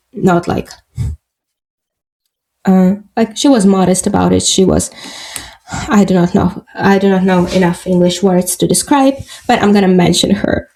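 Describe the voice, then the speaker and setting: Slow, gravelly woman with Russian accent. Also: mid-range voice, dark tone. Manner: halting, searching for English words, many fillers. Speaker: podcast guest. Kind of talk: casual interview talk.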